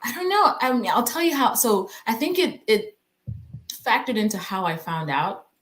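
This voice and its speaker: A woman's voice: a little high, big pitch swings, normal speed, fairly bright tone. A woman from Nigeria, a physician guest in an interview, speaking reflectively with frequent fillers and hesitations. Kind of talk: conversational interview.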